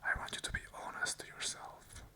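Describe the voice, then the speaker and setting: An ASMR host whispering an unscripted monologue of earnest, repeated affirmations in short phrases. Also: fairly quick. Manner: whispers the whole way, soft and unvoiced.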